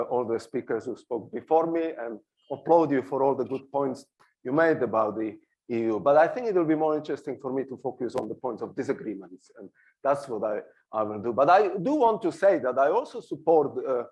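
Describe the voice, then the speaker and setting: A man's voice: mid-range voice, darkish tone, dull audio. A middle-aged man from Slovakia with a Slovak accent, arguing his points on a discussion panel and hesitating often. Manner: argumentative, hesitant with many fillers. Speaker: panel speaker. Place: small hall on a stage.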